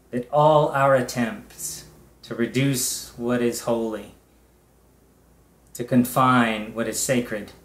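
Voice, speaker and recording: A middle-aged man, a pastor, leading a prayer in a measured, reverent way, in short phrases with long pauses. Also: mid-range voice. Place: indoors, small room with bare wall. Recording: echoey room.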